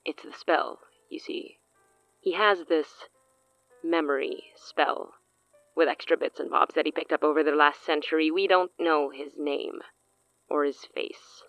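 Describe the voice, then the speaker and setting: A woman's voice: low-pitched, fairly even intonation, unhurried, dry recording, muffled recording, soft background music. A woman playing a smug, menacing sorceress in an audio drama, theatrical and taunting with amused condescension. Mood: wry, ominous.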